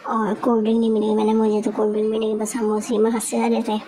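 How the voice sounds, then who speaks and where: A woman's voice: a little high, monotone, fast, dark tone, a little hiss or hum, rough recording. A young woman narrating her vlog in a chatty, giggly way, telling viewers about her evening out. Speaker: vlogger. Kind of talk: casual voice-over vlog narration.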